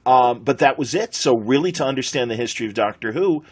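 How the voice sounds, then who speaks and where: A middle-aged man with an American accent and a husky voice, chatting conversationally as a podcast host. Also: medium pitch, animated, quick pace. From United States.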